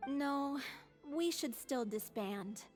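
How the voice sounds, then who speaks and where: Game role: plainspoken, stoic young troupe member, frail, high register, music underneath. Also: female voice, animated, takes it slowly, darkish tone. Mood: resigned. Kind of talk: video game voice acting. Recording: reverberant hall, music underneath.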